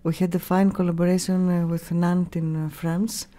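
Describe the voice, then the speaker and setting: A middle-aged woman with a Greek accent answering interview questions in a halting way, searching for words, on a studio microphone. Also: lowish voice, little pitch movement, warm tone. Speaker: radio station staff member, interviewee. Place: small radio studio. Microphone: broadcast mic on boom arm.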